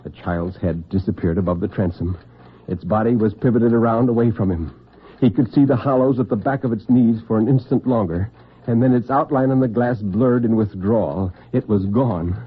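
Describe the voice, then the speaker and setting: A man narrating an old-time radio suspense story with deliberate, literary intensity and a husky voice. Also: lowish voice. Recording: tinny, band-limited vintage radio recording.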